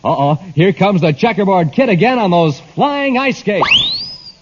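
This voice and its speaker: An American male announcer from a vintage radio broadcast. His voice is high and very animated, pitching everything with punchy enthusiasm. The recording is dull, with faint noise. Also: unhurried. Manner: punchy, hard-sell, enthusiastic.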